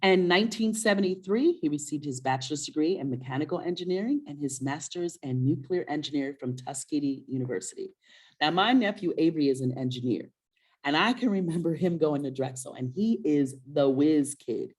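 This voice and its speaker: A middle-aged woman with a low-pitched voice hosts a story time for children. She speaks in a casual, conversational way. She is encouraging and often puts questions to her young co-host. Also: animated, fairly quick, a little mellow, slightly rough.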